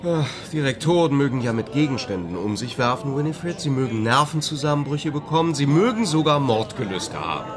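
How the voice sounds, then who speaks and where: A man's voice: medium pitch, sing-song, a little fast, few pauses, a crowd in the background, lightly acted. An actor playing an urbane, haughty male playwright in a German radio play, catty, sardonic and theatrical.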